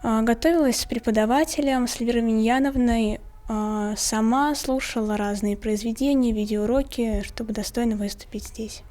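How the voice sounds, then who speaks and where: A young woman answers interview questions spontaneously. Her voice is somewhat high, with a gentle, mellow tone and little brightness. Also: moderate pace. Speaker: competition participant.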